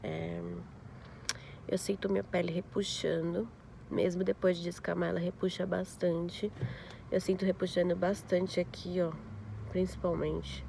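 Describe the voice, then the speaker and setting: A young woman vlogging casually to her phone camera, congested with a flu and a scratchy throat, explaining her skin recovery slowly. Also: lowish voice, flat delivery, vocal fry, warm tone. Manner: talks slowly through skin recovery, showing details. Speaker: beauty vlogger. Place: inside a parked car, later a home sofa. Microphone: phone camera at arm's length.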